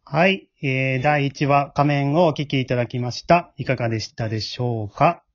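A man's voice: medium pitch, normal speed, dry recording, lo-fi sound. A man with a Kansai accent hosting a casual chat after an amateur radio drama. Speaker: host and writer of the radio drama. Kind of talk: casual post-show chat.

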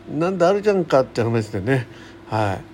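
A man's voice: medium pitch, very animated, a little slow, a little mellow. A middle-aged man hosting a solo radio show, chatting casually in a colloquial, folksy way. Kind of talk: casual solo chat, rambling daily-life anecdotes. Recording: faint background noise.

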